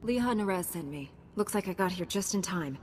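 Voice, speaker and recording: A woman's voice: on the low side, lively intonation, fairly quick, slight background noise, lightly acted. An actress playing a young, composed female Jedi hero, cool and self-assured, in a video game. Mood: confident. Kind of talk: scripted game dialogue.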